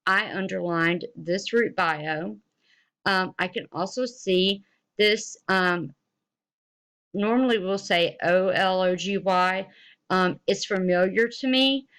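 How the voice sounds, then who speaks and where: A woman's voice: mid-range voice, fairly bright tone. A woman giving an instructional lesson as a teacher, explaining things informally and encouragingly to students.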